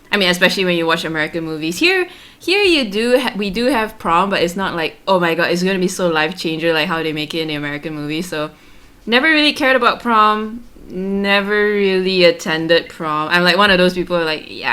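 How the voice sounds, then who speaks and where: Woman in her twenties, guest on a stream, lowish pitch, curious and quick to laugh. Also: sharp tone, clean tone. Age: 20s. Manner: asks lots of questions, laughs often. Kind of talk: casual chat.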